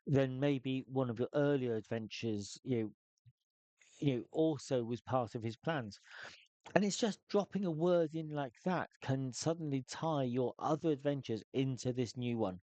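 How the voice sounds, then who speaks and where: A man talks through solo tabletop game advice at a middling pitch, thinking aloud and restarting his sentences often. The sound is dry and close, with little room around it. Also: normal speed, dark tone, dry recording, muffled recording. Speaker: solo tabletop game player giving tips. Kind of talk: casual spoken advice.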